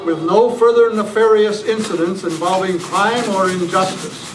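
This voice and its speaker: A man's voice: high voice, normal speed, over music. A man reading scripted narration as the announcer of an old-time radio show re-enactment. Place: club meeting room, live performance. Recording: echoey noisy meeting room, distant mic.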